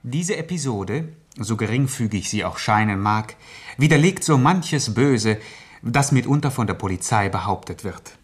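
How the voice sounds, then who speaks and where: A man's voice: medium pitch, animated, soft background music. A man giving scripted radio commentary in a pompous, ironically formal manner. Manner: pompous, deliberate phrasing.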